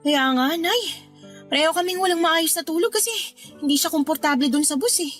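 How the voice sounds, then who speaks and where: Casting: defiant young eldest daughter, very high voice, snippy, radio drama with soft music behind. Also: female voice, a little fast. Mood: weary, resentful. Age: young adult. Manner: snippy, complaining, stubborn.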